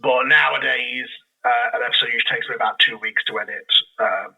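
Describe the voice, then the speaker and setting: A man speaking casually as a podcast guest, explaining things in an animated, rambling way. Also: medium pitch, brisk, vocal fry, breathy edge, phone-quality sound. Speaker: podcast guest, audio drama creator. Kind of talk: casual interview chat.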